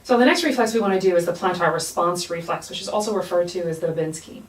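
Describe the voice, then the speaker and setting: A woman's voice: lowish voice, quick pace, a little hiss or hum. A woman clinical instructor explaining an exam demonstration step by step. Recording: roomy echo, distant mic.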